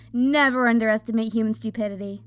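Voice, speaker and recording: A woman's voice: a little high, fairly bright tone, clear, resonant tone, no room sound, studio quality. A woman playing a hot-tempered, restless young pyromancer in an audio drama, given to impatient, emphatic outbursts. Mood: wry. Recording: music under the voice. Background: music.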